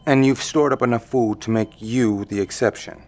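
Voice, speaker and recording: A man's voice: medium pitch, steady tone, normal speed, darkish tone, close to an everyday voice. A man playing an indignant male survivor in custody who speaks in a skeptical, challenging way, in an audio drama.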